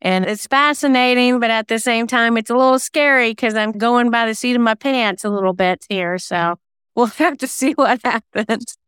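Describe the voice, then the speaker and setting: Female interview guest, very clean smooth voice, chatty, dry close sound. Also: on the high side, normal speed, a bit bright, no echo. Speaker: interview guest, singer-songwriter and novelist. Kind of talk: casual interview chat.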